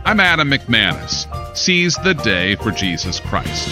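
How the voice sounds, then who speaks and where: A man's voice: on the low side, huge pitch swings, measured pace, over loud music. An American man reading scripted news in a studio with dramatic emphasis. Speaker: newscaster.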